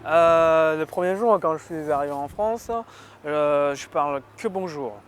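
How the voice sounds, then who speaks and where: A man with a Chinese accent speaking in a documentary interview, hesitant, with many pauses. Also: a little high, a little hiss or hum. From China.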